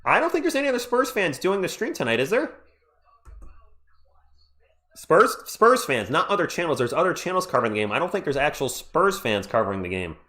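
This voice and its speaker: A man's voice: fairly high pitch, a little fast. A man hosting a live stream, calling a basketball game play-by-play as an open fan of one team and thanking viewers in the chat. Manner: excitable and partisan, reads viewer comments. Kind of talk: fan play-by-play commentary with chat shout-outs.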